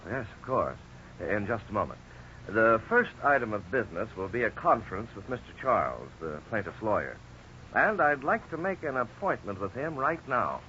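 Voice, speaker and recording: A courteous, frank lawyer in a 1950s radio drama. He has a low, bright voice and explains things in formal, measured phrases. The sound is that of a dull old radio recording. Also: big pitch swings, a little hiss or hum. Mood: frank, reassuring.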